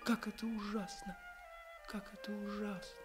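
An actress playing a tiny, sensitive, polite male ship's doctor in a radio play, expressive and plaintive. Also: medium pitch, moderate pace, faint music behind, lightly acted. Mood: troubled, hurt. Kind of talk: Russian radio play acting.

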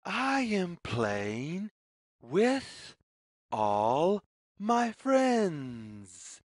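A storyteller reading a children's read-along story in deliberate, sing-song phrases with pauses. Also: medium pitch, huge pitch swings, no echo, studio quality. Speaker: read-aloud narrator.

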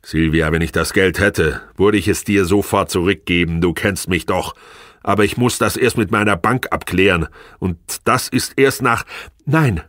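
A man's voice: sits low, normal speed, fairly bright tone, no room sound, studio quality. A man voicing a weary, cornered husband who reasons defensively and with controlled restraint in an audiobook. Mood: placating. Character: weary, cornered husband, stubbornly unimpressed, placating. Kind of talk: audiobook character dialogue.

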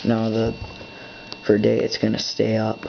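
Guy mumbling to himself while fiddling with buttons, gravelly low voice, flat delivery, dull lo-fi camera audio w faint hum. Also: unhurried. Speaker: hobbyist setting up a device. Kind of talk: off-the-cuff thinking aloud. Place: indoors at a desk.

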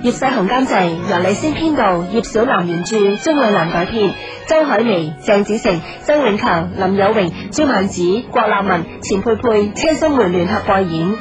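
音樂襯底嘅女電台報幕員. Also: female voice, mid-range voice, animated, moderate pace, hardly any pauses. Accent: Hong Kong Cantonese. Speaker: radio announcer. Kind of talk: scripted credits announcement. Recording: radio announcement over music.

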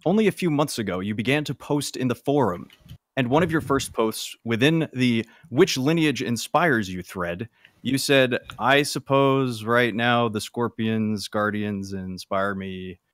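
A man reading aloud casually and haltingly on a live comedy podcast. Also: medium pitch, no room sound. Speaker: podcast panelist and reader.

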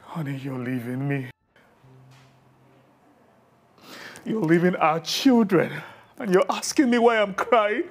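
An actor playing a devoted husband in an emotional film drama, pleading, repetitive and overwrought. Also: male voice, high voice, moderate pace. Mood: tearful pleading.

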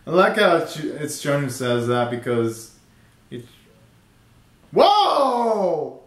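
Young guy doing reaction vids, slangy and excitable, voice jumps all over, echoey bedroom. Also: on the high side, takes it slowly. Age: young adult. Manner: giggly, exclamatory, slangy. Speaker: reaction video host. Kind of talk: casual reaction commentary. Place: small bedroom, indoors. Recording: roomy, echoey bedroom sound.

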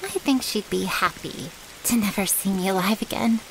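A woman's voice: medium pitch, moderate pace, a little hiss or hum, lightly acted, poor audio. A woman playing a brash, loyal young vampire's servant in an ASMR audio roleplay, with a cocky, blunt, casual way of talking. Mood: glum, confiding.

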